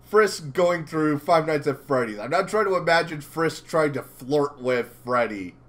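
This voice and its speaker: A man with a fairly high, very lively voice hosts a casual interview. He rambles along like an enthusiastic fan, his intonation moving up and down a great deal. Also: unhurried. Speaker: interviewer and channel host.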